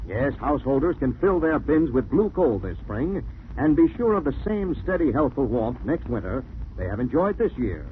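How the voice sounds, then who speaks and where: An American man delivers vintage radio announcements with formal emphasis. His medium-pitched voice rises and falls widely. The recording is tinny, with faint noise. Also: very animated, normal speed. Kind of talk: 1940s radio announcing, scripted narration and sponsor message.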